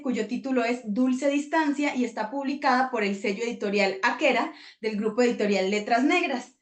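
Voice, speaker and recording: A woman's voice: high voice, reverberant. A woman hosting an online book presentation, chatting effusively with the authors. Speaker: host.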